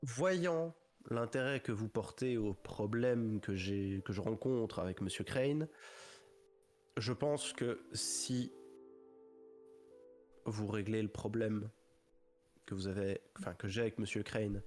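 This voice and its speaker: A game master voicing a wary young man who bargains over his father's debt, talking in halting, hedging restarts. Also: fairly low pitch, darkish tone. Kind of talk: voicing a character in a tabletop game.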